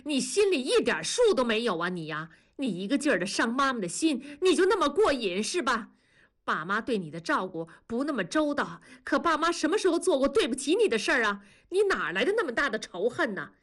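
A woman's voice: a little high, big pitch swings, fairly quick, a bit bright, pitched above the performer's own voice. A woman narrator voicing a hurt middle-aged mother who reproaches her child in an emotional, trembling manner. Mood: trembling, reproachful.

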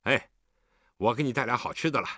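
一名男子在有声故事中扮演一个和善、护着孩子又不失坚定的成年男子，语气循循善诱。. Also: male voice, medium pitch, a little fast, bright, forceful tone, dry recording, studio quality. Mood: teasing, coaxing. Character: kind, protective grown man, teasing yet firm.

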